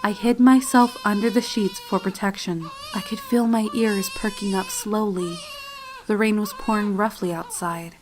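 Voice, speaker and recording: A woman's voice: medium pitch, wildly swooping pitch, moderate pace. A woman voicing a frightened, tearful little pony filly, telling her horror story in a solemn, dramatic, emotive way. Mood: fearful. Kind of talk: first-person dramatic fanfiction horror narration. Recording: clear noise and sound effects behind. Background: storm and animal sound effects, noise.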